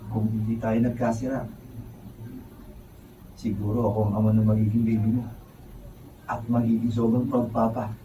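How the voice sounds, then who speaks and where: A man's voice: on the low side, level tone, normal speed, pauses often, warm tone, natural voice. A man acting a wistful, regretful former lover in a Filipino radio drama. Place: radio drama recording studio, captured behind the scenes. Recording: roomy, distant studio-floor capture, faint noise.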